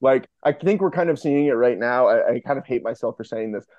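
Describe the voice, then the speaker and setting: Man, casual interview chat, enthusiastic rambling, mid pitch, slightly lo-fi. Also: expressive, fairly quick, darkish tone, dry recording. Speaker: podcast guest, fiction podcast co-creator.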